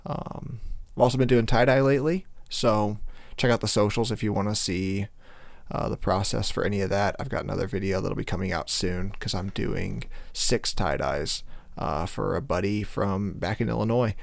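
A male podcast host with a slightly hoarse voice, talking casually in a rambling way with many fillers. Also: lowish voice, steady tone, normal speed, no room sound. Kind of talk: casual solo podcast intro.

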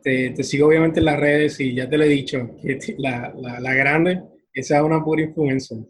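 Husky-voiced young man interviewing. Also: a little high, steady tone, normal speed. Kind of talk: casual chat interview.

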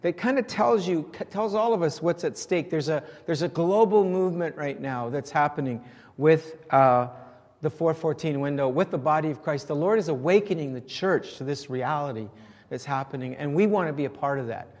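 A man's voice: on the high side, moderate pace, a little mellow. A man preaching to a congregation, explaining points with fillers and restarts. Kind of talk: sermon to a congregation. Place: church sanctuary. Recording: reverberant church hall.

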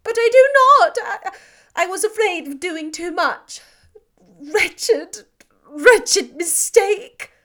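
A woman's voice: extremely high pitch, lively intonation, racing, long pauses, a bit bright, pitched above the performer's own voice. A woman reading the part of a distraught young woman in an audiobook, agitated, pleading and close to tears. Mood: agitated, despairing.

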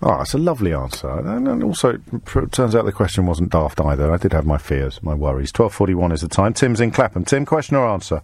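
A middle-aged man from England hosts a radio phone-in, his voice sitting low and sounding dry and close in the studio. He talks in quick, teasing banter and pokes fun at himself. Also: huge pitch swings, gravelly, a little mellow, breathy edge, no room sound. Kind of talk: casual phone-in chat. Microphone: studio microphone.

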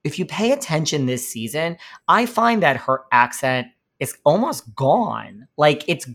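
A man's voice: fairly high pitch, very animated, measured pace, creaky, a bit bright. A man from Connecticut and New York with a slight New York accent, chatting as a podcast guest in a rambling, campy way. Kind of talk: casual interview chat.

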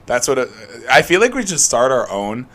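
A young woman hosts a casual comedy podcast in a playful, informal style. Her pitch swings widely as she talks. Clear noise sits behind the voice. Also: big pitch swings, normal speed. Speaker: podcast host. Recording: noticeable background noise, clicks.

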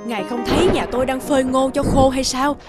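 Woman as a stubborn, shrill-pitched village woman. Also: fairly even intonation, fast talker, lightly acted. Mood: indignant. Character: argumentative village woman, sharp-tongued and stubborn. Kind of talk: animated fairy tale dubbing. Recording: loud music under the voice. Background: loud music.

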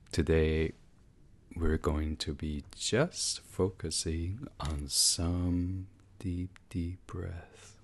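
A man leading a guided sleep meditation in a hushed, breathy, unhurried voice that often slips toward a whisper, soothing and instructional. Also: low voice.

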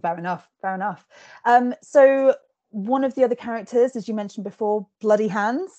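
A woman's voice: on the high side, moderate pace, dark tone, dry recording, muffled recording. Giggly chatty woman host, dry sound. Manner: chatty, giggly, many fillers and restarts. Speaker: podcast host interviewing an author. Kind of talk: casual interview chat.

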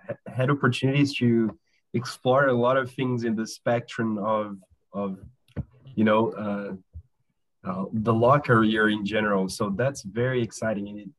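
A man's voice: mid-range voice, poor audio. A man hosting an interview, encouraging his guest, with many fillers and repeated words. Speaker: podcast host, interviewer. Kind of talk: friendly interview.

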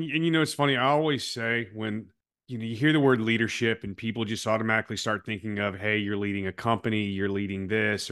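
Low-voiced man hosting an earnest interview. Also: fairly bright tone. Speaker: podcast host and coach. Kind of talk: conversational interview.

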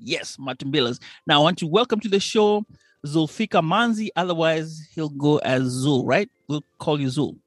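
A man's voice: fairly high pitch, lively intonation, moderate pace, dry recording, studio quality. A man from Kenya hosting a podcast, chatty and upbeat, with frequent fillers. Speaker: podcast host. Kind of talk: podcast interview.

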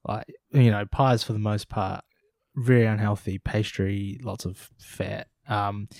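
Low-voiced man casually telling a story. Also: flat delivery, measured pace, vocal fry, darkish tone, dry recording, studio quality. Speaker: podcast co-host. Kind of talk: casual discussion.

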